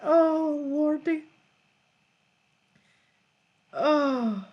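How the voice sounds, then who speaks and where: A woman's voice: high-pitched, very slow, muffled recording. A woman hosting a casual livestream, reading out viewer jokes and laughing often as she chats. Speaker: livestream host.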